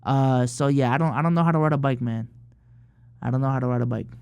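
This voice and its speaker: A man's voice: mid-range voice, fast, vocal fry, darkish tone, clear, resonant tone, dry recording. A man in his early twenties from the Philippines, chatting casually on a livestream and answering viewer questions in a loose, profane way full of fillers. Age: early 20s. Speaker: streamer.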